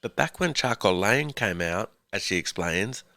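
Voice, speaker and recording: A man narrating a podcast in a clear, measured, scripted way. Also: on the low side, expressive, bright, forceful tone, no echo. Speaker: podcast host.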